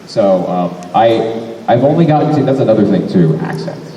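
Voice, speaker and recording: A man's voice: fairly low pitch, expressive, normal speed, mellow, lo-fi sound. A young man and voice actor answering fan questions at a convention panel, talking in a rambling way and correcting himself often. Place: large convention hall. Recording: echoey hall, mic from far off, faint noise.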